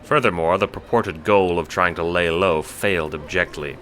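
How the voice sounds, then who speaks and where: A man's voice: low voice, moderate pace, a bit bright, a little hiss or hum. A man narrating a scripted audio drama in second person, with a wry, dramatic storytelling manner.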